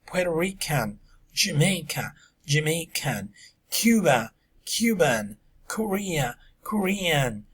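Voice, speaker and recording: Male English teacher, husky tone, unhurried delivery. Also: a little high, fairly bright tone. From Peru. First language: Spanish. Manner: slow, drawn-out words for teaching. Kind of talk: recorded online English lesson.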